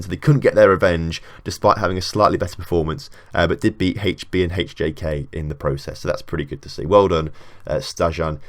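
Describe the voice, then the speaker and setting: Low-voiced man chatting over a game. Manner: chatty, thinking aloud with many fillers. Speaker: video game channel host. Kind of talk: casual gameplay commentary.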